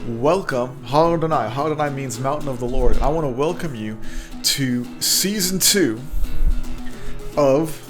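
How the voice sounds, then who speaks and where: A man's voice: medium pitch, normal speed, music in the background. A man hosting a motivational podcast, earnest and prone to repeating words and restarting, who also speaks Hebrew. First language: bilingual, speaks Hebrew. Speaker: podcast host.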